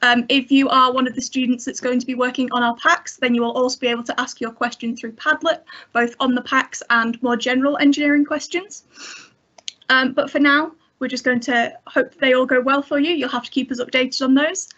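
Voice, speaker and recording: A woman's voice: high-pitched, steady tone, brisk, fairly bright tone, dull audio. A young woman hosting an online event, asking questions and reading friendly closing announcements over a headset on a video call. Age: young adult. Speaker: host. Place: indoors in a bedroom.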